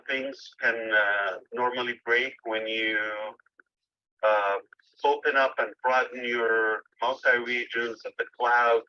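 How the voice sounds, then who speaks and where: Man on a dull, lo-fi call, halting delivery. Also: mid-range voice. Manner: halting, hesitant delivery with pauses mid-phrase. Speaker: company leader giving an update to members. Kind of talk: informal spoken update on a group call. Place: remote group call. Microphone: phone or internet call line. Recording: dull lo-fi phone call audio.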